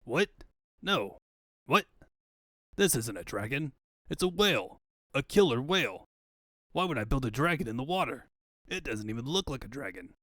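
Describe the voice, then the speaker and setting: A man's voice: medium pitch, very animated, moderate pace, long pauses, sharp tone, no room sound, studio quality, voice pushed down. A man voicing a grandiose, theatrical villain scientist in a comic book fandub, easily exasperated.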